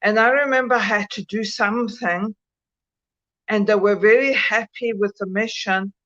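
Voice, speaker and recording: A woman with a mixed South African and Italian accent, a guest on a video call, telling a story slowly with many hesitations. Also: mid-range voice, fairly even intonation, fairly bright tone. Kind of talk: casual chat, recounting a dream experience. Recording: muffled bad connection.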